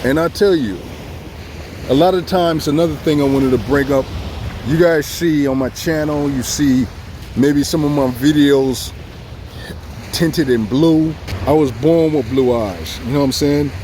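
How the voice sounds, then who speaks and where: A man's voice: fairly high pitch, a little slow, a little hiss or hum. Rambling Southern Californian guy at the beach. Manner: relaxed, rambling, repeats himself, chuckles often. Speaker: vlogger. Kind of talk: casual tribute vlog. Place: outdoors by the beach. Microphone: phone or camera filming himself.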